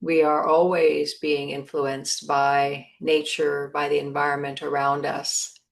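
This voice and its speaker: Woman, meditation guide, low register, very even intonation, short phrases with pauses. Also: gravelly. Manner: measured, pausing between short phrases.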